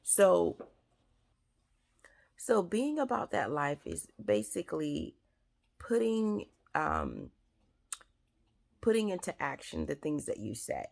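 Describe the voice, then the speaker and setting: A woman hosting her own advice show, speaking with a raspy, scratchy throat in an earnest, repetitive way with many fillers. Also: sits low. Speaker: host. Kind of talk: advice talk to camera.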